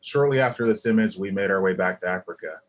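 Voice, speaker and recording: A man's voice: deep voice, fast, lo-fi sound. A middle-aged man from Liberia, giving an earnest design lecture over slides with many ums and restarts. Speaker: guest lecturer, landscape architect.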